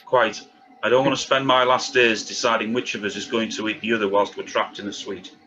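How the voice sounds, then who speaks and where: A man at a table read playing a wry, suspicious conspiracy theorist with a dry, deadpan delivery. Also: fairly low pitch, fairly quick. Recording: dull, lo-fi, faint background noise.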